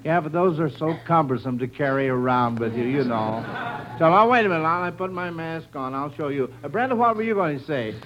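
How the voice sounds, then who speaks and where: A man's voice: on the high side, lively intonation, a little fast, a little hiss or hum. An American man hosting a mid-century radio quiz in an encouraging, avuncular manner. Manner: encouraging, avuncular, addresses children kindly. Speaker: quiz show host. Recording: dull vintage radio broadcast recording.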